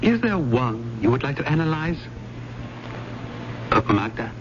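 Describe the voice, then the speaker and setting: An actor playing a grieving, polite widowed professor in a radio drama, speaking formally and deliberately. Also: male voice, mid-range voice, sing-song. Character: grieving, stuffy-but-polite widowed male English literature professor. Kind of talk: 1970s radio drama acting. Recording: muffled, noisy old radio broadcast.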